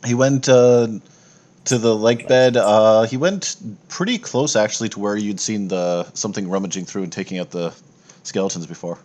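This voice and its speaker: Lowish voiced guy game mastering, casual, kinda halting as he explains stuff. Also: darkish tone. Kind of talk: casual improvised tabletop game narration.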